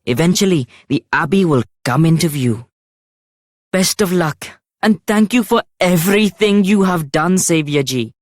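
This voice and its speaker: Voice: mid-range voice, expressive, normal speed, no echo, studio quality, natural voice. An actor voicing an earnest, devoted young male spice merchant in a video game, effusive and humbly polite, with an Indian accent. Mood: helpful. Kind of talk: video game character acting.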